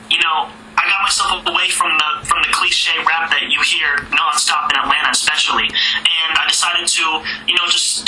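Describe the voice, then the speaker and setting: A man from Georgia, a rapper, answering interview questions in a relaxed, chatty way with a higher-pitched voice and small laughs. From Georgia, USA. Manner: laid-back, chatty, with small laughs and fillers. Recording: distant, roomy, noisy line.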